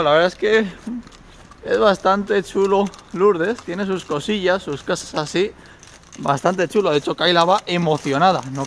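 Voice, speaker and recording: A man's voice: high voice, a bit bright. A man in his thirties with a Castilian Spanish accent, chatting informally and expressively as he vlogs on a handheld phone. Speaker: vlogger. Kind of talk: casual vlog narration and chat. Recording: noisy handheld on-location recording.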